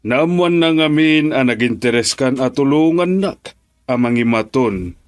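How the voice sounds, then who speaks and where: Genial Filipino businessman father, middling pitch male actor. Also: expressive, a little slow, lightly acted. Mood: fatherly. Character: genial older businessman father, fond and encouraging. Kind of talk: Ilocano radio drama acting.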